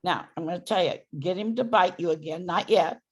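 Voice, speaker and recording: A hoarse woman dog trainer giving loud, emphatic instructions in a casual chat over a video call. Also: lowish voice, fairly quick. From San Francisco Bay Area.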